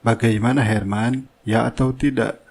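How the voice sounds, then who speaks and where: Man playing a calm, assured wealthy boss. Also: medium pitch, moderate pace, creaky. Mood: assured, generous. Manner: formal, calm, authoritative. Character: wealthy company boss, generous, assured, fatherly. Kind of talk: cartoon drama dialogue.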